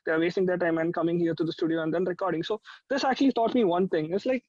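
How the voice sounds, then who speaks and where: A young man with a distinctly high voice and an Indian accent chats informally, repeating points for emphasis. The recording is dry and a bit dull, with little room sound. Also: steady tone, fast talker, darkish tone, no echo. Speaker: music producer, interview guest. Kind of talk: casual chat.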